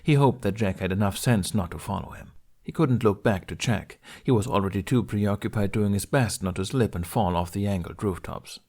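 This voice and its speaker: A man's voice: on the low side, normal speed, darkish tone. A man reading scripted narration of an adventure story as a podfic narrator.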